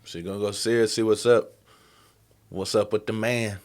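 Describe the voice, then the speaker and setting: A man's voice: on the low side, fairly even intonation, moderate pace, a little mellow. A man giving casual, slangy reaction commentary as the host of the video. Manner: colloquial, slangy.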